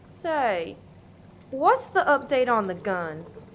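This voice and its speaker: A woman's voice: on the high side, big pitch swings, slow pace, slight background noise. A woman acting as a bossy, threatening interrogator in a comedic radio play, barking orders in a commanding way. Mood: businesslike.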